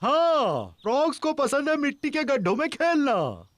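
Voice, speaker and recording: A performer voicing a jolly, gentle old grandfather pig in a Hindi children's cartoon dub, coaxing kindly. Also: high-pitched, wildly swooping pitch, normal speed.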